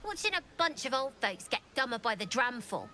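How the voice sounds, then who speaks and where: An actress voicing a cheeky, streetwise child hustler in a fantasy video game, sly and cocky. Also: female voice, on the high side, very animated, brisk, bright, forceful tone, slight background noise. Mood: sly, smug.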